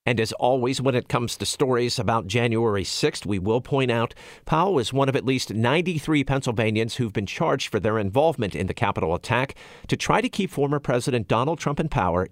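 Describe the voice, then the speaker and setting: An American man hosting radio news, reading scripted stories in a measured, explanatory way. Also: mid-range voice, no room sound, clean studio sound.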